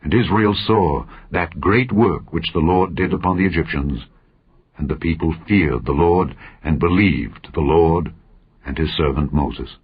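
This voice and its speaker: A man narrating an audio Bible in a solemn, measured, declamatory style, phrase by phrase. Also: very deep voice, husky, muffled recording. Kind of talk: solemn scripture reading.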